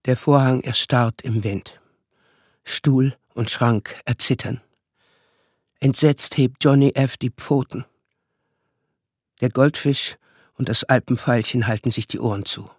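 A man narrating a radio play as storyteller of a child's magical world, with measured, dramatic phrasing. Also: mid-range voice, slightly rough, no room sound, studio quality. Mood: storytelling.

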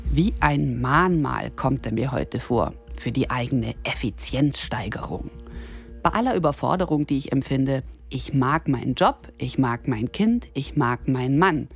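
A woman's voice: a bass voice, expressive, unhurried, creaky, breathy edge, soft background music. A woman of thirty-nine delivering an ironic, self-mocking scripted radio monologue in the first person. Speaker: radio columnist and presenter.

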